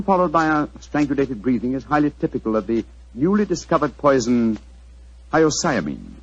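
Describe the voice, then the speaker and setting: A man acting a brilliant, self-assured English gentleman detective in a 1940s radio drama, with a British accent and precise, theatrical delivery. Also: medium pitch, slightly rough. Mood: coolly analytical. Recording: muffled, dull 1940s radio recording.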